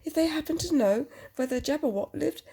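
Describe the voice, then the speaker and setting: A woman with a husky voice reading a picture book aloud to a child, expressively, with chatty questioning asides. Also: high voice, expressive, brisk, warm tone.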